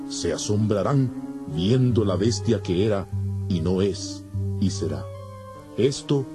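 Man voicing a solemn angel over loud music. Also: medium pitch, lightly acted. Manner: solemn, declamatory. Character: solemn male angel revealing divine judgment and mysteries. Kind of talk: dramatized scripture audiobook acting.

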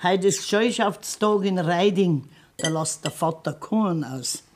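A woman's voice: on the low side, unhurried, darkish tone, slight background noise, close to an everyday voice. An actress playing an anxious, practical grandmother on a farm, with a Bavarian accent, plain-spoken and pleading in a radio play. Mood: matter-of-fact. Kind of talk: 1970s German radio play acting.

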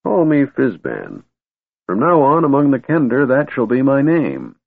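A man's voice: mid-range voice, huge pitch swings, measured pace, vocal fry, no echo, voice pushed up, full character voice. A man voicing a wise, eccentric old wizard who is secretly a god, testy and stern in turn. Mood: wistful. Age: older. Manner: cranky yet wistful, scolding. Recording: tinny phone-like dry recording.

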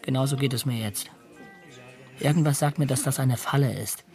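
Male actor as intense, superstitious drifter criminal, fussy and uneasy, medium pitch, radio drama. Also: moderate pace, darkish tone, soft background music, close to an everyday voice. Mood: uneasy, brooding.

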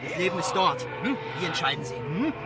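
A derelict male chatterbot tramp rambles disjointedly in a high, animated voice. It is radio drama, heard from a distance. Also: brisk. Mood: rambling. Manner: disjointed, nonsensical. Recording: distant, loud music behind.

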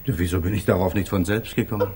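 Through the noise of a vintage radio recording comes an eccentric painter. His voice sits fairly low, and he talks with polite eagerness in the manner of a fifties German radio comedy. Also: steady tone, quick pace, darkish tone. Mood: eager, delighted. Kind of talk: 1950s German radio play acting.

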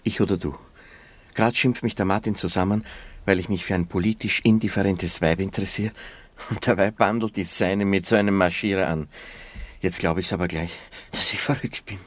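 Radio play: cynical womaniser, very low husky voice, mock-solemn, wheedling. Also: steady tone, a little fast. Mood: indignant. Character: self-pitying, cynical womaniser and political comrade. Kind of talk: radio drama acting.

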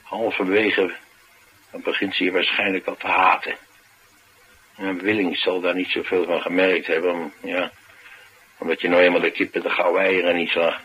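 Deep-voiced, streetwise driver, wry and brooding. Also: male voice, little pitch movement, normal speed, long pauses, a bit bright, breathy edge, dull audio. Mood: wry, knowing. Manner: colloquial, confiding, musing. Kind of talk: naturalistic radio drama acting. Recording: noisy radio drama recording.

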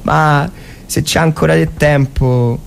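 A man performs a humble young Tuscan soldier facing death in a war story. His pitch is lifted and his words come haltingly, stammered with fear. There is noticeable noise in the recording. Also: steady tone, creaky. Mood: timid, pleading. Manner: stammering, hesitant.

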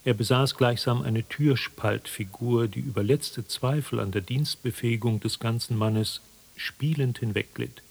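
A man narrating a literary radio drama in a measured, formal, dryly ironic manner, with a slightly husky voice. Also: mid-range voice. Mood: detached, wry. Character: detached, wry literary narrator of an absurd tale.

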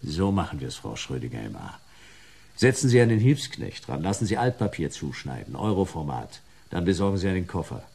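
An actor playing a laconic, hardboiled private detective, gravelly and deadpan, in clipped radio drama narration and dialogue. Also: male voice, sits low, fairly quick, heavily acted. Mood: dry, businesslike. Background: music.

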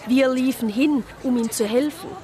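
Eine Schauspielerin spielt eine Diakonisse, die als Ich-Erzählerin in einem Hörspiel von ihren Erlebnissen berichtet. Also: female voice, sits high, normal speed, a crowd in the background, natural voice. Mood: calm recounting. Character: anxious deaconess recounting her adventures abroad. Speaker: first-person narrator. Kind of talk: radio play narration and dialogue.

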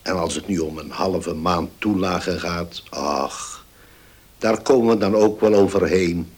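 An actor playing an older, well-off father in a radio play, indulgent and gruffly dignified, weighing his words. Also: sits low, a little slow, darkish tone. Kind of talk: Dutch radio play acting.

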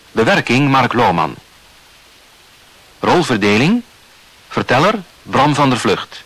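A man announcing the credits of a radio production in a formal, measured, scripted manner on a studio microphone. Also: medium pitch, sharp tone, slightly rough, dry recording, low-quality recording.

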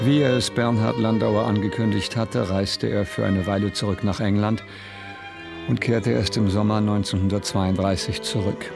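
A man with a fairly low voice narrates a literary radio drama. His delivery is measured and serious, and music plays clearly underneath. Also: steady tone.

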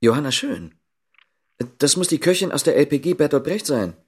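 A man's voice: a little high, sing-song, moderate pace, breathy edge, exaggerated voice. An actor playing a dutiful, guarded East German policeman in a radio drama, speaking tersely and with restraint.

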